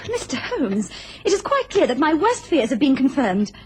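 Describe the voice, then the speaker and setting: A proper young lady in a radio drama. Her high voice rises and falls animatedly, formal yet distressed. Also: sing-song, normal speed, a little hiss or hum. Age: young adult.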